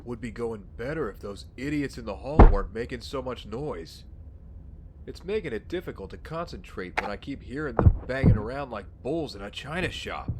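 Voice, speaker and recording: An actor playing a grumbling, jittery bookkeeper for a drug racket in an audio drama. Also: male voice, mid-range voice, animated, normal speed, very noisy, close to an everyday voice.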